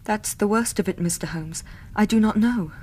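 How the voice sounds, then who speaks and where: A woman's voice: mid-range voice, normal speed, a little mellow, slight background noise, close to an everyday voice. An actress playing an earnest, trusting young Victorian lady in a radio drama, speaking politely and properly. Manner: polite, proper, eager to please. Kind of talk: period radio drama acting. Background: music.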